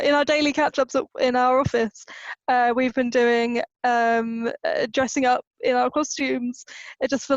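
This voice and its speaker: A woman's voice: high voice, no room sound. A woman co-hosting a podcast in casual, informal chat, on a slightly lo-fi recording. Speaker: podcast co-host.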